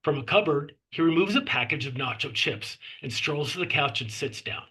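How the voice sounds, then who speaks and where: Male narrator, fairly high pitch, forceful bright tone, raspy edge, scripted stage directions. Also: animated, moderate pace.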